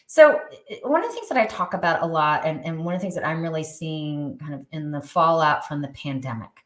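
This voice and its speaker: A middle-aged woman, a guest expert on movement and wellbeing, speaking in an animated, emphatic conversational way with frequent restarts. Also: sits low, a little fast, echoey room. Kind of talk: conversational interview.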